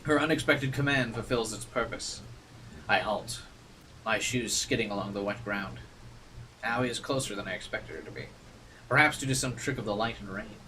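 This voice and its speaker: A man's voice: lowish voice, moderate pace, bright, forceful tone. Casual male streamer, distant mic with hiss. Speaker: streamer playing a visual novel. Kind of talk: casual commentary mixed with reading narration aloud.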